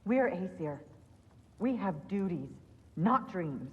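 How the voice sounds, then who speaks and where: A woman playing a stern, worried Norse goddess mother and wife in a dramatic game cutscene, firm and measured. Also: fairly low pitch, lively intonation, husky, in a large hall, dull audio, full character voice.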